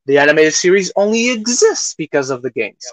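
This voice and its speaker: A man's voice: high-pitched, very animated, a little fast, dry recording. A man co-hosting a podcast, casually discussing movies in an opinionated, emphatic way. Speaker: podcast co-host.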